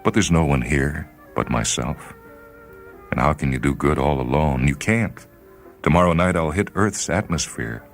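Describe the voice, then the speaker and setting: A man acting a brooding, very deep-voiced doomed spaceman. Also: normal speed, creaky, faint music behind. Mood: anguished regret. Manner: brooding, questioning himself. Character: Hollis, despairing lone spaceman falling to his death. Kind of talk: dramatic short story reading.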